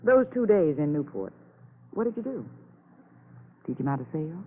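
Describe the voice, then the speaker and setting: An actress playing a shrewd, protective, sardonic lawyer with an arch, commanding manner in a vintage radio crime drama. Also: female voice, low voice, very animated, moderate pace. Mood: probing. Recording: narrow-band old radio broadcast.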